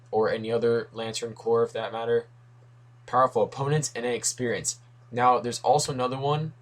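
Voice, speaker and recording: A young man talks casually about comics, wandering into asides and correcting himself as he goes. His voice is a little worn, like he has been losing it. His pitch sits at an everyday middle level. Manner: rambling, self-correcting, lots of asides. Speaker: comics video host. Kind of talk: casual rambling commentary.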